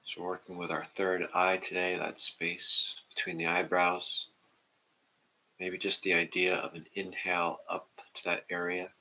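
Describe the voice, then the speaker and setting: A middle-aged man calmly guiding a meditation in a gentle, measured way. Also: low voice, rough recording. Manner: calm, measured. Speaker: meditation guide. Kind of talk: gentle guided meditation and reading aloud. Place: indoor room at home, seated on the floor.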